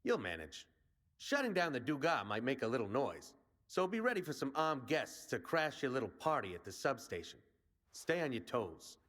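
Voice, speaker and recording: A man's voice: medium pitch, very animated, moderate pace, a bit bright. An actor playing a brash male resistance commander in a video game, rallying and plain-spoken like a soldier. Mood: confident briefing.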